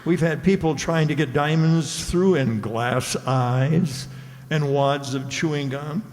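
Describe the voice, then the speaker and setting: A man plays an easygoing customs official in a radio drama. His slightly high voice is chatty and matter-of-fact, ringing in a large hall. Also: takes it slowly, darkish tone. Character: easygoing, chatty male government customs agent. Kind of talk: old-time radio drama acting.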